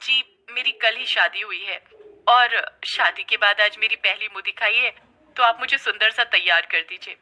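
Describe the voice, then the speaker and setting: A woman's voice: mid-range voice, normal speed, bright, forceful tone. A woman voicing a sweet, vain young newlywed customer with a polite, chirpy manner in a cartoon story. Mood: polite, proud.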